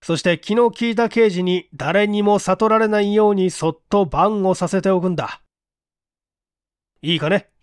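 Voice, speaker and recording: A man voicing a composed middle-aged police investigation chief who reasons aloud with measured authority in an audiobook. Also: high-pitched, clean tone, no room sound, studio quality, pitched above the performer's own voice, natural voice. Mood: confiding. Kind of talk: audiobook character acting.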